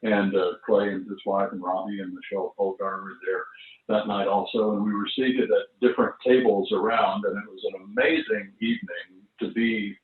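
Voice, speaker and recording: An elderly man shares memories in a low voice. He talks as a casual, unhurried storyteller, pausing as he goes. The sound is thin and phone-like, with a roomy echo. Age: 70s or older. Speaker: veteran performer telling stories. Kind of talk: casual reminiscing at an online launch party. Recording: roomy, distant, phone-like internet audio.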